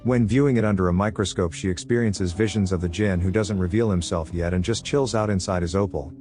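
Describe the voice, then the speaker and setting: Low-voiced sardonic man narrating over music. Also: fairly quick, a little mellow. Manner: sardonic, flippant asides. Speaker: movie recap narrator. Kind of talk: scripted narration of a film plot.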